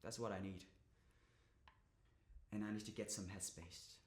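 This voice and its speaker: Casting: actor as stressed young man, bright higher-pitched voice, bitter and confessional, stage monologue in an echoey room. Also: sing-song, normal speed. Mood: quiet, resigned. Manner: confessional, sweary, emotionally volatile. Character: stressed, drained young man confiding bitterly, burdened by family. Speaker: drama student actor.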